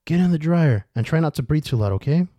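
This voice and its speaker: A man's voice: on the high side, expressive, fast, no room sound, voice pushed up. A man voicing a helpful, scrappy little boy in a story, giving sneaky, matter-of-fact instructions. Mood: hushed, urgent. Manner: hushed, instructing.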